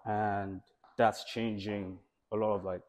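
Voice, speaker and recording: A man, a guest founder in an interview, speaking in a relaxed, reflective way with "you know" fillers. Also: lowish voice, slow. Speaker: sound studio founder, guest. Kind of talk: conversational interview.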